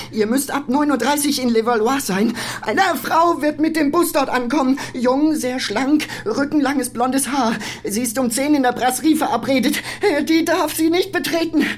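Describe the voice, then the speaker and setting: A woman playing a nervous, halting young small-time criminal under interrogation in a crime radio drama. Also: high voice, close to an everyday voice.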